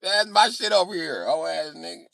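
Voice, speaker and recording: A man's voice: on the high side, lively intonation, normal speed, vocal fry, pushed and bright, dry recording, studio quality. A man chatting casually on a livestream in a brash, joking, slangy way with lots of swearing. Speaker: livestream host reading and answering comments. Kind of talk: casual profane livestream chat.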